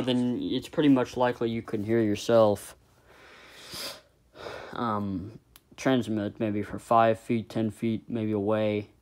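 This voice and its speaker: A man giving a casual, unscripted radio hobby demonstration, halting and trailing off mid-thought. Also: mid-range voice. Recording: loud shortwave radio static and noise. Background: radio hiss and static.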